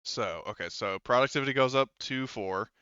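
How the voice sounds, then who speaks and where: A man running an online tabletop roleplaying game as game master, talking casually and haltingly as he thinks aloud and corrects himself. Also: mid-range voice, bright, forceful tone, smooth, dry recording, highs cut off.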